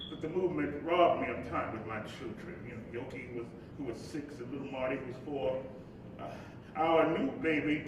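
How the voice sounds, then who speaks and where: An actor playing the spirit of Dr. King, an earnest, reflective preacher and father, in a live stage monologue with halting asides. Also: fairly bright tone. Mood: tender, reflective. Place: live stage before an audience. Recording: echoey hall, distant mic, noisy rumble.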